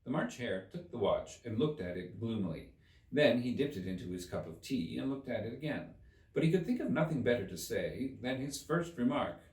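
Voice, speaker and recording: A man reading a children's storybook aloud as a narrator, in an expressive scripted style. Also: mid-range voice, normal speed. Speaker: audiobook narrator. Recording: roomy, slightly distant microphone.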